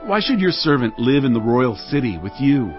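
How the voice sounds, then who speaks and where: An actor playing a bold, earnest, righteous warrior leader in a dramatized audio Bible, with emphatic, declamatory delivery. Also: male voice, mid-range voice, very animated, normal speed, highs cut off, soft background music, natural voice. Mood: measured, calculating.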